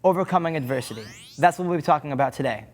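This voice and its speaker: A man's voice: fairly high pitch, expressive, very fast. A young man co-hosting a faith show, reading scripted lines in turns with his partner. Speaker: co-host. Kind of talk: scripted presenting.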